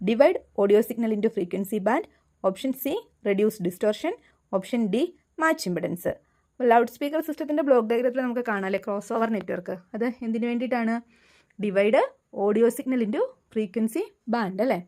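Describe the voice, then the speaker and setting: Slow, mellow Malayali woman tutor. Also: fairly high pitch. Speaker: exam tutor. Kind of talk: scripted quiz lesson, reading questions and options.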